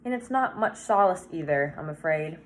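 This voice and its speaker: A woman voicing a kindly, sorrowful old woman in a children's storybook, soft-spoken and gentle, on a noisy recording. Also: deep voice, expressive, moderate pace. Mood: tender, sad. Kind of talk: children's novel read-aloud.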